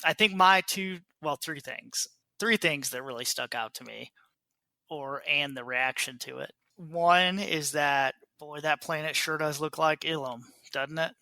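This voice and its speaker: A man hosting a podcast, chatting casually in an animated, opinionated and informal way. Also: fairly high pitch, a little slow, dry recording. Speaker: podcast host. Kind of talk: casual panel chat about a TV trailer.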